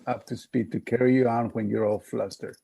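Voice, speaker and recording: A man's voice: medium pitch, little pitch movement, brisk, vocal fry, mellow, husky. A man telling personal stories casually as a podcast guest, in short, punchy sentences.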